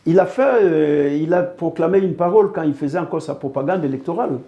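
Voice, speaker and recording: A man's voice: a little high, fast, lo-fi sound. A man from Congo speaking earnestly in a political interview, pressing his points by repeating phrases. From Congo (DRC). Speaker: interview guest.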